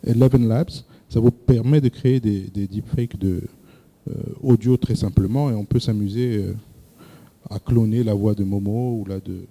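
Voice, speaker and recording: Very dark-voiced man presenting, halting. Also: fairly low pitch, fairly even intonation. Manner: hesitant, repeats words and says euh often; addresses the audience directly with questions. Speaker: workshop presenter. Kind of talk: lecturing to a live audience.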